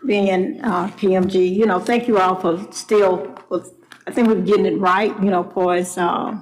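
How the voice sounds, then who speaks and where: A woman chairs a public board meeting at a middle pitch, and she pauses often on her fillers. She moves the agenda along in a halting way. A faint hiss runs under her voice. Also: steady tone, low-quality recording.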